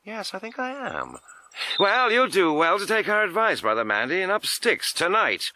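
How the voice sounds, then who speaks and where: An actor playing a breezy, hearty, fast-talking gentleman adventurer in a tongue-in-cheek period radio drama. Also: sits high, wildly swooping pitch, no echo, full character voice. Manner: jovial, hearty, slangy.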